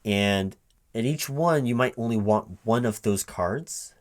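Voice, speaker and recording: Man, lowish pitch, spoken ASMR interview roleplay, manager character, halting, repeats himself, puzzled delivery. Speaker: roleplay ASMR performer playing a recruiting manager. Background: computer keyboard typing.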